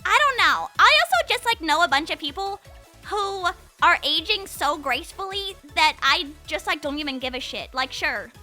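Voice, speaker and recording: A young woman hosts a casual livestream chat in a very high, strikingly bright voice. Her intonation moves around a lot as she rambles playfully from one thought to the next, talking to her viewers. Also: lively intonation, moderate pace, faint music behind. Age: 20s. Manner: playful, rambling, says "like" constantly. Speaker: livestream host chatting with viewers.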